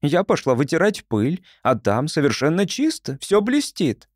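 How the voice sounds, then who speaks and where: A man voicing a brisk, suspicious housewife who is exasperated with her husband, in an audiobook story. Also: on the high side, big pitch swings, no echo, studio quality, pitched above the performer's own voice. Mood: puzzled.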